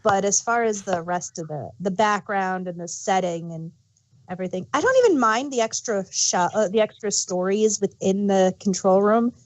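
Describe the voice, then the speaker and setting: A woman's voice: mid-range voice, lively intonation, moderate pace, low-quality recording. A woman co-hosting a casual fan discussion, explaining things in a hesitant way and often correcting herself mid-thought. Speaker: podcast co-host.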